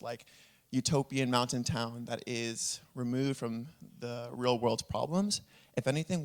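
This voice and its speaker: A man from Puerto Rico speaking as a panelist at a conference, explaining his work in an earnest, step-by-step way. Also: medium pitch. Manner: earnest, explanatory, lists examples.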